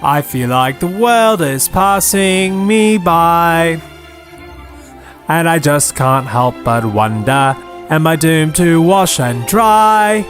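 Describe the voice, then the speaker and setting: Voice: medium pitch, expressive, takes it slowly, soft background music. A performer playing a downtrodden, hopeful boy in a plaintive, earnest manner. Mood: wistful singing.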